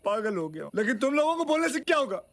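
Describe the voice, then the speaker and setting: A man's voice: very high voice, big pitch swings, fast talker, heavy background noise, full character voice. An actor playing a flustered, self-pitying son-in-law in a comedy film, hesitant with his excuses and prone to frantic rants. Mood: exasperated. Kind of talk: broad Hindi comedy film acting.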